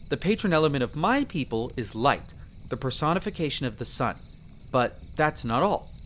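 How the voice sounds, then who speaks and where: A man playing a friendly, earnest young elf explorer in roleplay audio, explaining things chattily with hesitant pauses. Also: fairly high pitch, slight background noise, lightly acted. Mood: proud, explaining. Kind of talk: character roleplay audio addressed to the listener.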